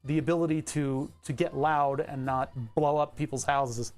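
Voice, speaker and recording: A fairly high-voiced man rambles through a relaxed live stream, chuckling now and then as he walks through his setup. He speaks into a lapel mic clipped on in his home workshop. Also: normal speed. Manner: easygoing, chuckles often, talks through his screen setup. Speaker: livestreamer.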